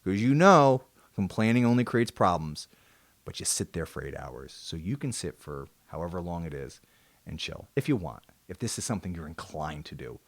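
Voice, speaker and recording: Deep-voiced man rambling in a dry room. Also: very animated, moderate pace, vocal fry, no echo. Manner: rambling, self-mocking, with sudden loud jokey bursts. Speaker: podcast host. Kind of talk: casual solo monologue.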